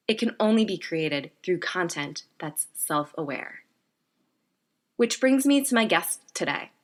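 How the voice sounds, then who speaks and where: A woman hosting a podcast, reading a poetic intro and asking admiring questions. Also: on the low side. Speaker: podcast host. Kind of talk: scripted intro and interview.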